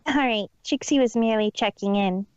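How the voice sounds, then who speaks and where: A woman's voice: a little high, fairly quick, darkish tone, clear, resonant tone, lightly acted. A woman playing a boastful, theatrical unicorn magician who speaks of herself in the third person, in a fan story reading. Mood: haughty, composed. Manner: haughty, theatrical, hammy. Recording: dull lo-fi home recording.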